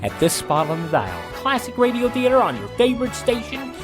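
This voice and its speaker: An American male radio host delivers a polished scripted sign-off. His pitch moves in a lively way. Clear music plays behind him. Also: a little fast. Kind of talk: scripted radio show outro.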